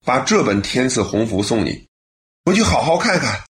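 A man's voice: mid-range voice, very animated, moderate pace, slight background noise, lightly acted. A man acting in a radio play as a grateful, earnest son telling of his mother's recovery. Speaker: radio drama actor.

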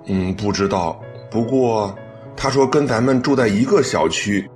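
Young adult son, caring, earnest, lively intonation, radio drama, faint music behind. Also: male voice, lowish voice, a little slow. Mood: curious. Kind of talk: Chinese radio drama acting.

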